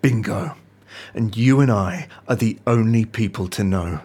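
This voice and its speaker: An actor playing an eager, ambitious intelligence agent with a self-satisfied manner in an audio drama. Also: male voice, lowish voice, measured pace, a little mellow, breathy edge. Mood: smug, excited.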